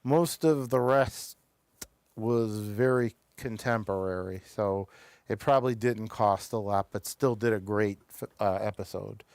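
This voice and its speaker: Clear, smooth-voiced man reviewing television casually. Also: fairly low pitch, unhurried, a little mellow, no room sound. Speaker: show host reviewing television episodes.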